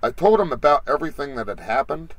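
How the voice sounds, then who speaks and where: A man's voice: medium pitch, sing-song, fast talker, no echo, pitched above the performer's own voice, low-quality recording. A man playing an ex-Marine comic shop worker, narrating a scripted horror story in a dramatic, suspenseful way. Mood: shaken, sheepish. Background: music.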